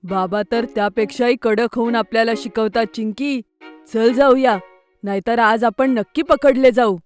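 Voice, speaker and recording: Lady doing a nervous little cartoon schoolboy, kinda high, stammers a bit, music underneath. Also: fairly even intonation, moderate pace, close to an everyday voice. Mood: urgent, secretive. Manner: hesitant, stammering fillers. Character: young schoolboy, nervous, easily distracted. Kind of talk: children's cartoon story dialogue.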